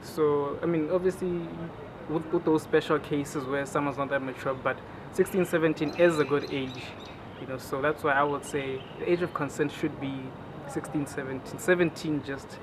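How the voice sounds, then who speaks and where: A man, giving his opinion in a relaxed, hesitant way that trails off. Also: on the high side, moderate pace, background noise. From Botswana. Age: 20s. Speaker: interviewee. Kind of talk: casual personal opinion.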